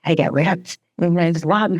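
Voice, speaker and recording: A woman in her forties, a divorce attorney telling her story as a podcast guest, talking fast and chatty with frequent laughs and a slight Northeastern American accent. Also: lowish voice, lively intonation, darkish tone, husky, muffled recording. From Sweden. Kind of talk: candid podcast conversation.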